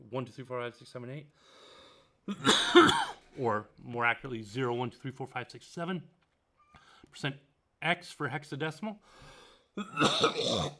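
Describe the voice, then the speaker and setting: A man teaching programming, reading passages from a textbook aloud and commenting as he works, with frequent ums and uhs. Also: mid-range voice. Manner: thinks out loud, many ums and uhs; reads passages then comments on them. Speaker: programming tutor. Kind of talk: screen-recorded coding tutorial, reading a textbook aloud.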